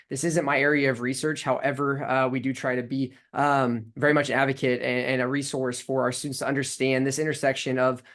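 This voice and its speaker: A man of middle pitch introduces himself on a video call panel, hesitant and humble, filling pauses with ums and uhs. He sounds like an academic speaking off the cuff. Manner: hesitant, many fillers, humble. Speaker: university sport management program director.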